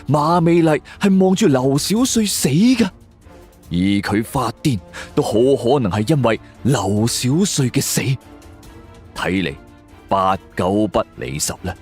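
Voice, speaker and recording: A man's voice: mid-range voice, breathy edge. A man narrating a scripted suspense story in a dramatic way. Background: background music.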